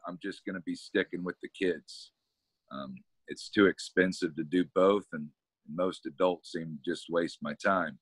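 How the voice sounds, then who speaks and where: A man from Kansas in his thirties with a deep voice, telling his story in a relaxed, casual way during an interview over a video call. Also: husky, no room sound. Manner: relaxed storytelling, laughs often, repeats words when starting. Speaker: environmental educator guest. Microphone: webcam on a video call.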